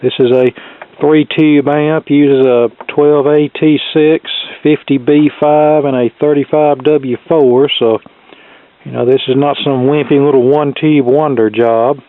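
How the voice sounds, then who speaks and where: A man's voice: mid-range voice, steady tone, measured pace. A middle-aged man casually talking through a hands-on repair in a plainspoken, folksy way. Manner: plainspoken, folksy turns of phrase. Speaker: hobbyist electronics repairman presenting a video. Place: workshop. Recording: phone-like narrow, tinny sound, close and dry.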